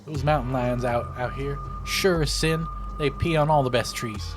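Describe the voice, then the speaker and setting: A man's voice: mid-range voice, normal speed, natural voice. Man putting on a grumpy old hillbilly hunter. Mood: indignant. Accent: Southern US, rural Appalachian. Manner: folksy, blustering, indignant. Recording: music playing behind the voice.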